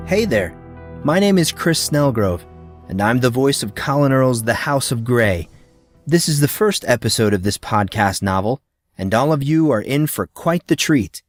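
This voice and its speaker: A man reading scripted fiction as a podcast narrator, with a measured storytelling delivery. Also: mid-range voice, animated, soft background music. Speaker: audiobook narrator and podcast host.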